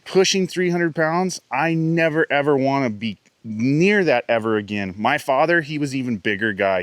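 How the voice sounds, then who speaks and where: A man's voice: medium pitch, a bit bright, dry recording. A man in his forties talking casually and earnestly to camera as a vlogger. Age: 40s. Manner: earnest, chatty and upbeat.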